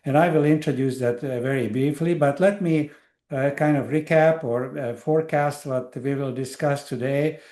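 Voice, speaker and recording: An older man from Hungary speaks at a middle pitch, lecturing over a video call. He hesitates with frequent fillers and restarts as he works through his points. The sound is roomy, with a clear echo from the space around him. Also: normal speed, warm tone. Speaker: guest lecturer. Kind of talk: academic online lecture.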